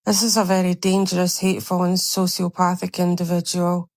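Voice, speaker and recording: A woman's voice: on the low side, completely flat delivery, brisk, a little mellow, dry recording. A woman narrating over screenshots in an indignant, condemning tone. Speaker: commentary narrator.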